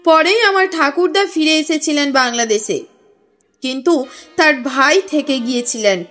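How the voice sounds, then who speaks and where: Woman reading as slow, courteous, earnest businessman. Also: sits high, a bit bright. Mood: measured, explanatory. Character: earnest, courteous businessman recounting his family history. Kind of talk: audio story character reading. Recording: music under the voice.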